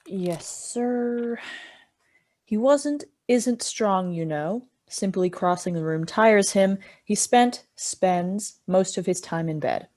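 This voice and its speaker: A young woman playing a polite, deferential male junior police officer in a radio play, speaking in a courteous, measured, formal way. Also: medium pitch, darkish tone, lightly acted. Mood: dutiful. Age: young adult. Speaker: student actor.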